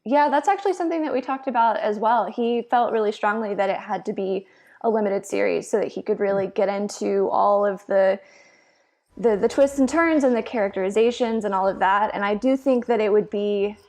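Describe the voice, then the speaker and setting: A woman critic talking casually on a panel, pausing to find words and then stressing them. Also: mid-range voice, darkish tone. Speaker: panel guest, entertainment critic. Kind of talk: casual review discussion.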